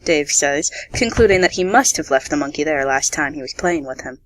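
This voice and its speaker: A woman narrates a radio theatre story from a script. Her voice sits a little on the low side. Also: expressive, brisk.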